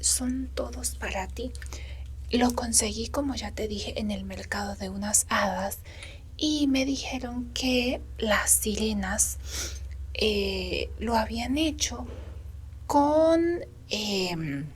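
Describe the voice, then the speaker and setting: A woman performing a whispered ASMR roleplay as a gentle, caring faun, breathy and tender as she speaks to the listener. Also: fairly high pitch, a little hiss or hum. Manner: slow, soothing, addressing the listener tenderly. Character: gentle, caring female faun, a magical companion.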